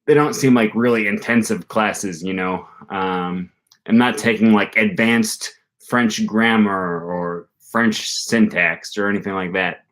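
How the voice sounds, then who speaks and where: A man's voice: fairly low pitch, measured pace, fairly bright tone. A young man talking casually on a live stream from a small room, rambling with many ums and restarts. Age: young adult. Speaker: language learning streamer. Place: small room with bookshelf, indoors. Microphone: webcam at desk.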